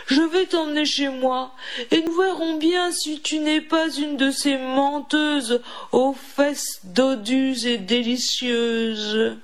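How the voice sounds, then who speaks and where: A woman voicing a hungry, menacing male ogre wolf for a children's storybook, gruff, threatening and impatient. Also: high voice, steady tone, slow pace, soft background music. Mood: softened but threatening.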